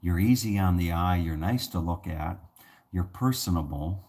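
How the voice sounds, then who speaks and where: Very deep-voiced man rambling softly. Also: expressive, moderate pace. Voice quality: soothing voice. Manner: rambling, many restarts and fillers. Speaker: channel host. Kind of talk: casual talk to camera.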